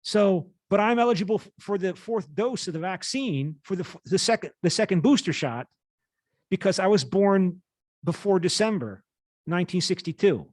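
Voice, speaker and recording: Higher-pitched man in his fifties, lecturing. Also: animated, moderate pace, a little mellow, no echo. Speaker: university lecturer. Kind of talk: lecture, reading an article aloud with asides.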